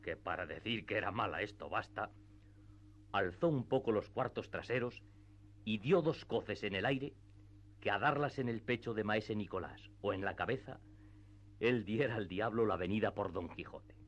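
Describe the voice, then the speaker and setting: A man's voice: lowish voice, moderate pace, dull audio. A man narrating a classic literary tale as the scripted narrator of a radio theatre piece.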